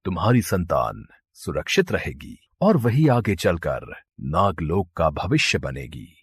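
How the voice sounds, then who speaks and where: A man voicing a benevolent, fatherly god who makes calm, solemn pronouncements in a mythological story. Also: low voice, a little slow, slightly rough, dry recording, studio quality, voice pushed down, exaggerated voice. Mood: solemn, reassuring.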